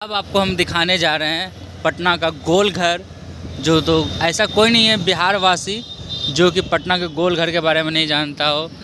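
Young male vlogger, fairly high voice, casual narration over loud traffic. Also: steady tone, normal speed. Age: 20s. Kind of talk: casual vlog narration to camera. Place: outdoors on busy city streets, often riding on a motorbike. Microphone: handheld phone. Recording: loud traffic and street noise.

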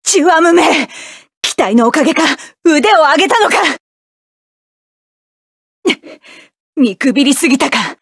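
A proud hot-tempered mecha pilot. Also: female voice, sits high, lively intonation, brisk, no room sound, studio quality. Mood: grim, determined. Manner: sharp, commanding, clipped battle barks. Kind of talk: video game battle voice lines.

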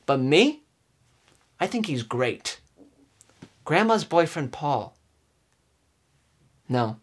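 A man in his twenties giving an earnest, overwrought dramatic reading, with emphatic phrasing and deliberate pauses. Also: fairly high pitch. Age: 20s. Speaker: comedic performer. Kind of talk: theatrical dramatic reading of song lyrics. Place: indoors, small room with curtain backdrop.

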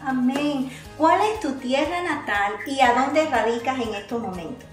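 High-voiced, unhurried woman hosting an interview. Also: animated. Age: middle-aged. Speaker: radio show host. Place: small indoor set with curtains. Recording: roomy sound with music behind.

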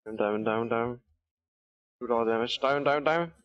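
A man's voice: mid-range voice, quick pace, a bit bright, highs cut off. A young man streaming a game from a small room on a headset, chatting casually, swearing and thanking subscribers.

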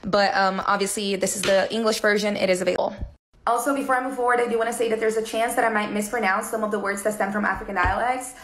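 A young woman with a Brazilian accent talks straight to her phone camera, warm and earnest, at a middling pitch. Her voice bounces around a bare, echoey room. She is casual and eager, piling on emphasis. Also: flat delivery, fast. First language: Portuguese. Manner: enthusiastic, earnest. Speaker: content creator. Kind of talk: casual book recommendation to camera. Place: indoor room. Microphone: phone camera at arm's length.

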